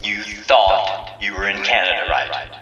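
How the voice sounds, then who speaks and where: A man playing a stern, sanctimonious council leader in an audio drama, solemn, measured and commanding. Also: lowish voice, level tone, bright, forceful tone, highs cut off. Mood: firm, coaxing. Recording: roomy, distant voice under music.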